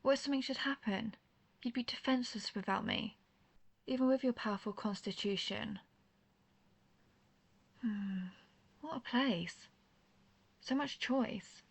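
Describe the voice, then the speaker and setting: A woman playing a world-weary, principled old vampire who hunts her own kind, speaking in formal, measured phrases in an intimate roleplay audio drama. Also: on the high side. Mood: wry, wistful. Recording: clearly noisy background ambience.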